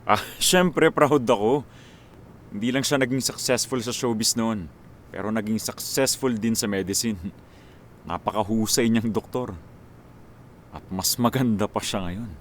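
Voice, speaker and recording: A man acting in a Filipino radio drama as a famous action star, sentimental and devoted to his former screen partner, speaking in a sincere, halting way. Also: on the low side, slight background noise, lightly acted. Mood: proud, fond. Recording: music behind the voice.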